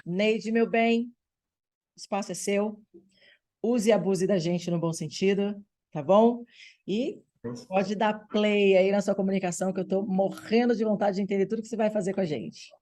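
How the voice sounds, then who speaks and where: A Brazilian woman hosts an online meeting over a video call. Her voice sits at an average pitch. She speaks in an upbeat, encouraging way and keeps checking in with her listeners. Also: steady tone, moderate pace. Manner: upbeat, warm-sounding through her words, drops in frequent "tá bom?" check-ins. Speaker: host of an online women's group meeting. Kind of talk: lively welcoming online meeting talk.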